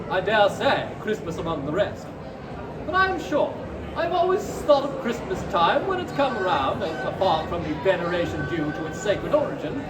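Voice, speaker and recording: A man voicing a cheerful, earnest young nephew in a live story reading, sincere and pleading. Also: very high-pitched. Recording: echoey crowded fair hall, distant noisy mic.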